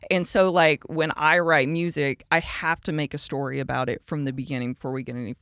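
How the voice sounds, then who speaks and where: A young woman with a Southern accent, a guest musician chatting on a podcast, gushing quickly and full of "like". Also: sits low, steady tone, a bit bright, no echo, studio quality. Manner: gushing, fast, heavy use of "like". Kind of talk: casual podcast conversation.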